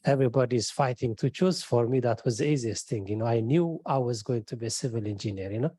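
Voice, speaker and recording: A middle-aged man with an Ethiopian accent, a professor on a panel, telling his story in a relaxed way, often adding "you know". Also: medium pitch, fast, husky. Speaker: wind engineering professor, panelist. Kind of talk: panel discussion answer.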